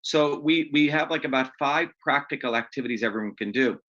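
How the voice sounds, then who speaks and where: Upbeat guy running a video call, sharp bright voice, kinda muffled lo-fi sound. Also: medium pitch, a little fast. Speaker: host. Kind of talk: online open house call.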